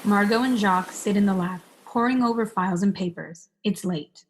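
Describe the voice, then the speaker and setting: A woman's voice: mid-range voice, moderate pace, close to an everyday voice. A woman reading scripted stage directions as the narrator of a radio play.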